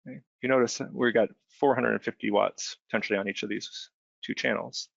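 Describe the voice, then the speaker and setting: A man giving an informal, step-by-step software demonstration as a webinar trainer, explaining conversationally and often checking in with short tag questions. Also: mid-range voice, fairly even intonation, fairly quick, gravelly, no echo. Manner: conversational, explanatory, frequent check-in tags like "right?". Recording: dull, band-limited close dry voice recording.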